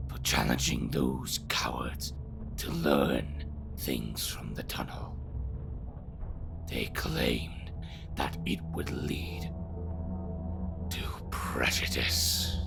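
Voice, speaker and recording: Gravelly, whispering ancient sinister creature. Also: male voice, very deep voice, heavily acted. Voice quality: whispery, growling rasp. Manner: theatrical, slow, drawn-out formal speech. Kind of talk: fantasy audio drama acting.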